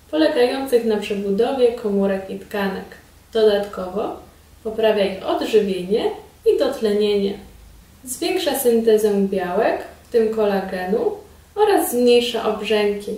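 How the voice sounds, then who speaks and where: A woman in her thirties giving formal, measured scripted instructions as a trainer in a small indoor room. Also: medium pitch, warm tone. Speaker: instructor presenting a beauty device. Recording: roomy echo, distant mic.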